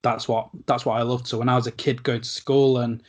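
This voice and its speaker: A man's voice: mid-range voice, flat delivery, brisk. A man hosting a casual podcast interview, chatty and jokey, with repeated "like".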